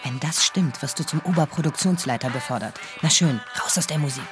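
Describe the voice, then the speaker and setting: An actress playing a brash, sardonic radio talk-show host with a husky voice and a wry, commanding manner. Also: female voice, low-pitched, brisk, music in the background, natural voice. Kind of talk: radio drama acting.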